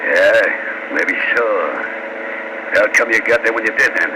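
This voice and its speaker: A man's voice: on the low side, moderate pace, lightly acted. An actor playing a suspicious, slangy, slightly dim henchman in a 1940s radio crime drama. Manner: suspicious, tough street slang. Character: wary, slangy henchman, not very bright. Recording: noisy old radio broadcast recording.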